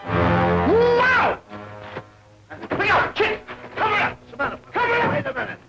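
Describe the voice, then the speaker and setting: Yelping, very high panicky fellow. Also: male voice, wildly swooping pitch, slow pace, muffled recording, heavily acted. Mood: panicked. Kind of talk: 1940s film acting. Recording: old film soundtrack, loud music.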